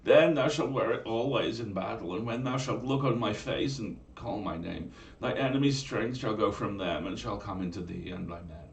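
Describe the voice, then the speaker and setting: A man's voice: lowish voice, normal speed, creaky, hardly any pauses. A man voicing a young mermaid princess in a whiny valley girl manner, in a storybook reading. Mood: commanding. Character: young woman, a proud sea princess and mermaid. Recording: roomy room, distant mic.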